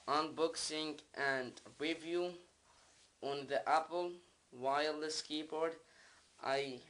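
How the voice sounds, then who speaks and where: Male vlogger, Arabic accent, fairly high voice, slow halting delivery, casual product demonstration. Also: fairly bright tone. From Middle East. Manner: halting, simple non-native English with repetitions. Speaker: video creator, product reviewer. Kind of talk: casual unboxing vlog, talking while demonstrating.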